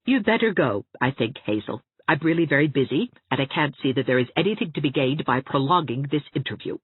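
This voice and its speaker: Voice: mid-range voice, expressive, a little fast, breathy edge, no echo. A narrator doing a wry, exasperated young woman. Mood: brisk. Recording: tinny phone-like dry sound.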